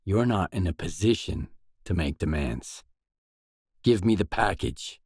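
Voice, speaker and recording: A man's voice: low-pitched, animated, measured pace, vocal fry, a little mellow, breathy edge, dry recording, clean studio sound, exaggerated voice. A man playing a guarded, determined post-apocalyptic stalker in a video game, speaking in a terse, probing, matter-of-fact way. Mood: firm, threatening. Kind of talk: isolated video game dialogue lines.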